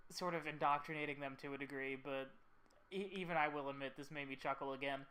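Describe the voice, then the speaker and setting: A man giving casual, slightly halting commentary as host of a video about an old film. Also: fairly high pitch, fairly even intonation, bright, forceful tone.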